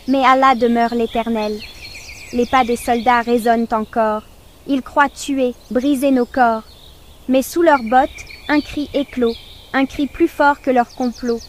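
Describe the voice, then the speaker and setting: Slow, high-voiced woman reciting solemn poetry. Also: a little hiss or hum. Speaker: poetry narrator. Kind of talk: solemn scripted poem recitation.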